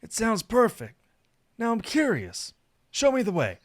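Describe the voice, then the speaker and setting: Man voicing an eager, high-pitched, awestruck young-hearted traveler. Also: a little slow, heavily acted. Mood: curious. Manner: excited, wonder-struck. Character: eager, bookish male travel companion, easily awed.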